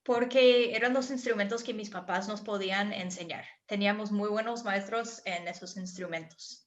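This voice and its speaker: A woman's voice: medium pitch, steady tone, normal speed, dull audio. A woman in her thirties with an American accent, a guest musician answering children's questions in friendly, simple replies. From United States. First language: English. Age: 30s.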